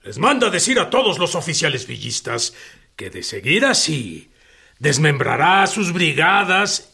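A man playing a harsh army soldier in a historical radio drama, barking out drawn-out orders. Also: high voice, vocal fry, bright, forceful tone, exaggerated voice. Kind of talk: Spanish-language historical radio drama acting.

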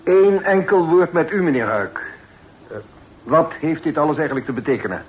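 A man's voice: fairly high pitch, expressive, normal speed, dull audio, a little hiss or hum, natural voice. An actor playing a stern, indignant father guarding his daughter's honour, speaking formally and commandingly in an old-fashioned radio play. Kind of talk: Dutch radio play acting.

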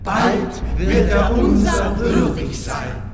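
Very slow, exalted priest. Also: male voice, high-pitched, animated, a crowd in the background. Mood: reverent, jubilant. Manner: slow ceremonial chanting delivery. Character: exalted priests solemnly praising their gods. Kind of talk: German radio play acting. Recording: distant, cavernous echo, loud music.

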